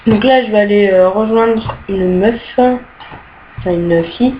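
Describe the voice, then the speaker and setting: A woman's voice: medium pitch, slow. A young woman casually showing her rooms in an online game, with many hesitations and easily annoyed by glitches. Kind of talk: casual screen-recorded game tour. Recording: dull lo-fi home mic with faint hiss.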